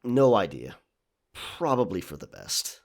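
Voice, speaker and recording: A low male voice from an actor as a young pirate in a historical audio drama. He is world-weary and wry, with a halting, confessional delivery that carries a disgraced past. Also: very animated, creaky, darkish tone, heavily acted. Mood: wry, bitter.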